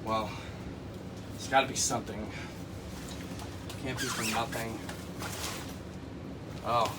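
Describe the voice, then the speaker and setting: A man mutters through a scripted monologue at a slow, halting pace. His pitch is medium. A clear hum like air conditioning sits behind the voice. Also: fairly even intonation.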